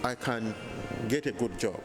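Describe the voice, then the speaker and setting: A man's voice: medium pitch, music in the background. Ugandan man, 30s, earnest interview guest, mid-range voice, handheld mic indoors. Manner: earnest, measured, frequent restarts. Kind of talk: casual interview. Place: bright hotel lounge indoors. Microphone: handheld lapel mic.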